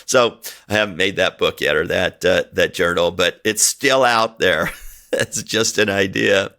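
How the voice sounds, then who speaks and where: Chatty Hungarian-born man, lowish voice. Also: a little slow, creaky, pushed and bright. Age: middle-aged. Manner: rambling, chatty, laughs often. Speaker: podcast host. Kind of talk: casual solo podcast monologue.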